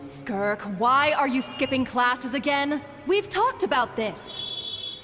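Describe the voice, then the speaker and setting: High-voiced, stern scolding mother. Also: sing-song, slow pace, heavily acted. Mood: stern, reproachful. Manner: reproachful, proper. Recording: reverberant game dialogue over faint music.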